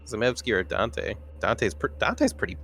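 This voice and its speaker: A man chats as a player in a tabletop game. He has a fairly low voice and an informal, slangy way of talking, with faint noise behind him. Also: huge pitch swings, normal speed, a bit bright. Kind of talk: casual game-table chat.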